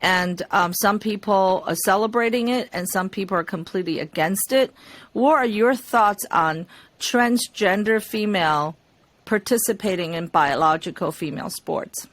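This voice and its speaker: A woman interviewing a candidate, asking measured questions with frequent fillers. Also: mid-range voice, fairly bright tone, dry recording.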